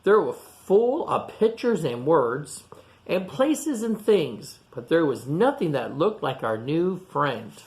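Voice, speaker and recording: A man reading a picture book aloud to young children, pausing for simple explanations and questions. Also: fairly high pitch, very animated, darkish tone. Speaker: storytime reader for young children. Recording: echoey room sound.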